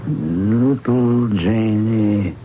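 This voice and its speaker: A dull, noisy radio drama recording carries a frail old man. His voice is very low and he speaks very slowly, in confused, halting fragments, as a doctor weakened by a stroke. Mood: wistful. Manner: halting, fragmented, slow speech. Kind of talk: 1970s radio drama acting.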